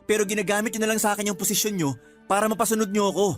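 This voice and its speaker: Actor as an earnest young Filipino man, quick-talking. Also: sits high, steady tone, soft background music, natural voice. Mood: firm, aggrieved. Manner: earnest, polite but firm. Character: earnest young male employee, loyal boyfriend, defending himself. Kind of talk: Filipino radio drama acting.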